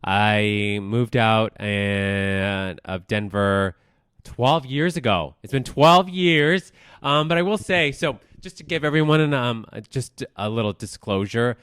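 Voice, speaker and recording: A man's voice: mid-range voice, a bit bright, smooth, no echo, studio quality. A man in his thirties from Colorado, a guest who speaks nervously and hesitantly about his past. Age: 30s. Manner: nervous, hesitant, halting with fillers. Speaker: guest, news manager. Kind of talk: personal interview.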